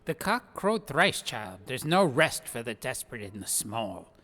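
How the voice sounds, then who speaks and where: A man's voice: mid-range voice, big pitch swings, measured pace, sharp tone, pitched above the performer's own voice. A man voicing a stern, pompous old master craftsman who scolds in formal speech, in an audiobook story. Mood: scolding. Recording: long cavernous reverb.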